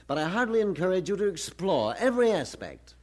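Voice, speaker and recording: An actor playing a genial, bemused young male scholar with formal, measured wording in an audio drama. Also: high voice, very animated, darkish tone. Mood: bemused, encouraging.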